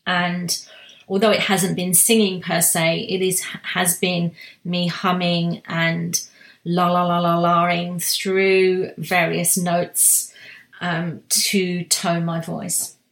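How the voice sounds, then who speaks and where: A woman giving a slow, reflective spiritual talk, soft-spoken, with long pauses and restarts. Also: on the low side, level tone, gravelly, echoey room. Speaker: spiritual guide sharing monthly energy reading.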